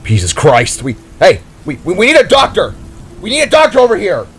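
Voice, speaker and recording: A man's voice: high-pitched, huge pitch swings, a little fast, fairly bright tone, slightly rough, pitched above the performer's own voice, heavily acted. A man voicing a blunt, alarmed adult animal who stammers and repeats himself urgently in a story.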